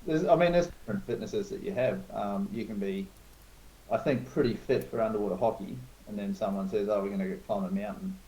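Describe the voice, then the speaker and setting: Laid-back, mellow-voiced man in his forties. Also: lowish voice, fairly quick, poor audio. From New Zealand. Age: 40s. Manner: laid-back storytelling, frank and self-mocking. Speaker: guest, club organizer. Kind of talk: casual podcast chat.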